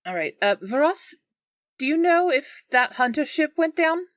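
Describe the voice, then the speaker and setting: A woman's voice: sits high, sing-song, normal speed, no room sound, studio quality. A woman playing a tabletop roleplaying game on a podcast, speaking casually in and out of character.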